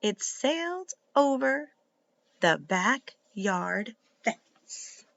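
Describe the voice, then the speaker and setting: A woman reading a children's book aloud like a teacher, in an expressive, deliberate and instructive way. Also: on the high side, creaky, dull audio. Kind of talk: animated read-aloud narration for young children.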